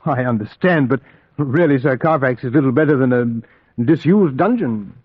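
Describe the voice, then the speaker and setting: A man's voice: mid-range voice, lively intonation, normal speed, husky, no echo. A man playing a polite, uneasy Englishman who speaks and narrates with precise diction in an old-time radio play. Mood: politely uneasy. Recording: dull vintage radio recording, music behind.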